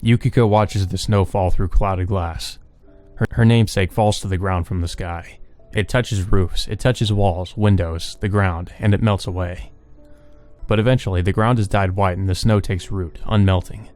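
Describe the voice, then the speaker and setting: A man with a fairly low voice narrates a visual novel. His storytelling is measured and subdued, and music plays behind him as he hosts a let's play. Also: steady tone, a little mellow. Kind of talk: narration read aloud with brief asides.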